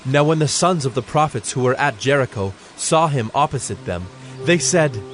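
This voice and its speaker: A man's voice: mid-range voice, animated, moderate pace. A man narrating scripture from a script in a solemn storytelling manner. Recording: background music under the voice.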